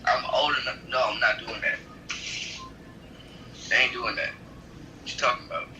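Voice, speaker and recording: A man's voice: mid-range voice, moderate pace, sharp tone. A young man chatting with a stranger over a video app, defensive and laughing as he denies things. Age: young adult. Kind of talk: casual chat. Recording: phone line with faint noise.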